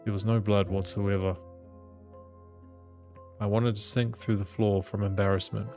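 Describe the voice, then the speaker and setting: A man with a lowish, gravelly voice narrates a visual novel aloud. His intonation stays fairly flat, and he trips on the odd word as music plays softly behind. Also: measured pace. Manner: reads aloud with small stumbles. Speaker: gaming channel host reading the game aloud. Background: game music.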